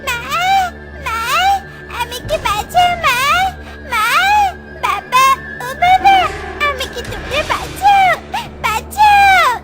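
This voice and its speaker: A woman's voice: very high voice, animated, slow, heavily acted, rough recording. A woman voicing a frightened little girl bird in a children's cartoon, plaintive and pleading. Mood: terrified, pleading. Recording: music under the voice.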